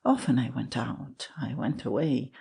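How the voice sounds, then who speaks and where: A man playing an ardent, lovesick young man, earnest and melancholy, with a husky voice and a yearning, confessional delivery in a literary dramatic reading. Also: mid-range voice, unhurried, mellow, heavily acted. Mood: anguished.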